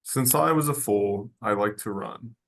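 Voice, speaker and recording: A man playing a simple, gravelly horse. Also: fairly low pitch, a bit bright. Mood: earnest. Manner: slow, halting, childlike short sentences. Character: simple earnest horse, plain-spoken and homesick. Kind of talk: radio drama table read.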